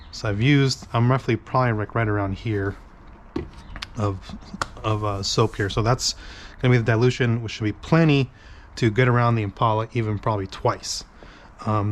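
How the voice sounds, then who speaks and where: Congested, nasal man with a lowish voice. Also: a little hiss or hum. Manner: rambling, many ums and restarts. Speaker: car detailing channel host. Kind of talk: casual product review demo. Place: home garage workbench.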